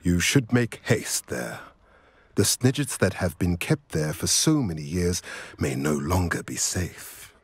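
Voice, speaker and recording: A low, husky centaur sage for a fantasy game. He speaks slowly and formally, with wide swings of intonation, solemn and cryptic yet protective. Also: sing-song, no echo, exaggerated voice. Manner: formal, measured, archaic phrasing. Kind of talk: video game voice acting.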